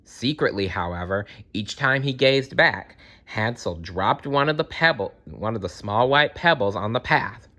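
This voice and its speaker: Bright-voiced man reading a fairytale aloud. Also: on the low side, unhurried, hardly any pauses. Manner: expressive, addresses young listeners directly. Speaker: storyteller. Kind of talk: fairytale read-aloud narration for children.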